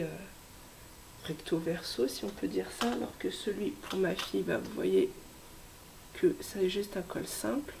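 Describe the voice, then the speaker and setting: A woman in her thirties, hoarse from a cold, hosting a casual knitting vlog with many hesitations and soft asides. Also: mid-range voice, slight background noise, low-quality recording. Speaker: knitting podcast host.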